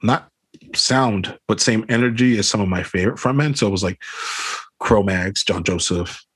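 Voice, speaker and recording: A man's voice: fairly low pitch, moderate pace, a bit bright, husky, no echo. A man, a band frontman, talking casually in an interview over a video call, rambling with lots of fillers and swearing. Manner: rambling, swears a lot, many fillers like "you know what I mean".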